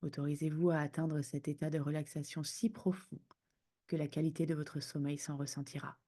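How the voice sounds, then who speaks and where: Mellow, soothing woman guiding sleep meditation. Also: sits low, level tone. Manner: soothing, hushed, unhurried phrasing with pauses. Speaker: yoga nidra guide.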